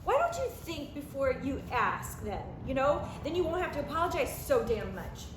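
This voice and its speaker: Actress as high-voiced, prickly anxious girlfriend. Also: very animated, normal speed. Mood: irritated. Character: Helen, anxious, prickly, self-doubting live-in girlfriend. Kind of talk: comic play table read. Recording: echoey, noisy room recording.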